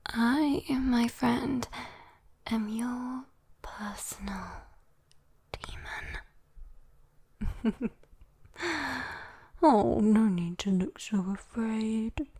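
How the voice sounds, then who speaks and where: A British woman voicing a sweet, teasing, possessive demon girl in a breathy, coaxing whispered ASMR roleplay. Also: on the high side, fairly even intonation, mellow, full character voice. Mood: soothing, coy. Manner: breathy, teasing, coaxing, slow with lingering pauses. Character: sweet, teasing, possessive young female demon comforting a human.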